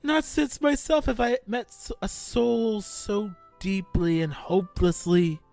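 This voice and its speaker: A man playing a deranged, gleeful killer in a fan audio drama, theatrical, gloating and mocking, with drawn-out words. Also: sits high, fairly even intonation, gravelly, a little mellow, soft background music, exaggerated voice.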